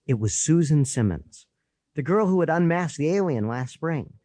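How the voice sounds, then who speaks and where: A man reads a children's story as a first-person narrator. His voice sits a little high and swings widely in pitch with boyish animation. The recording is dry and close. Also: animated, normal speed, warm tone, no echo. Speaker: audiobook narrator.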